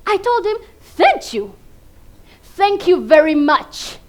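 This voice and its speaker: Ugandan female stage performer, very high voice, slow theatrical pacing, emphatic comic monologue with big dynamic swings. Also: very animated. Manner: emphatic, dramatic delivery with big swings between hushed and loud. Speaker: competition contestant performing a monologue.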